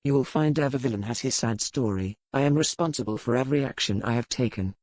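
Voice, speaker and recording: A man's voice: mid-range voice, darkish tone, no echo, natural voice. Man playing a proud, hardened pirate lord, dry close recording. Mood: defiant, dismissive. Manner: formal, measured, dry. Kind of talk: audiobook character dialogue.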